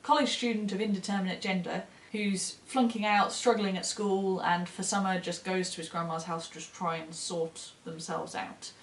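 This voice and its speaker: A woman reviews books in a relaxed, conversational style with open enthusiasm. Her voice sits at an average pitch. The recording is roomy, with noticeable echo. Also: moderate pace, hardly any pauses, slight background noise. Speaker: book reviewer co-hosting short fiction recommendations. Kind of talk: casual enthusiastic book chat.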